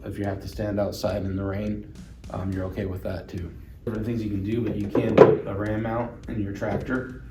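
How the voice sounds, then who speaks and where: A man's voice: fairly low pitch, warm tone. A man giving an instructional product demonstration, explaining things plainly and step by step. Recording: roomy echo, distant mic, music underneath.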